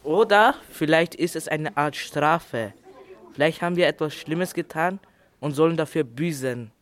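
A man's voice: a little high, unhurried, a bit bright. A young male pupil playing a gloomy young man in an amateur school radio play. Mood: doubtful. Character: gloomy young man, angler who hates London.

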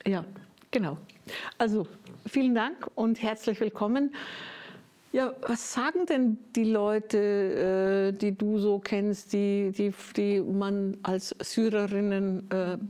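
A woman leads the interview in a mellow, relaxed voice. She asks long, careful questions and pauses often to find her words. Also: medium pitch. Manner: careful, hesitant, many fillers. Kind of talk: radio interview.

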